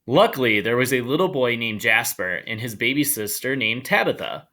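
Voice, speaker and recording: A man reading a children's story aloud in an animated storytelling style. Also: medium pitch, normal speed. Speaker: storybook reader.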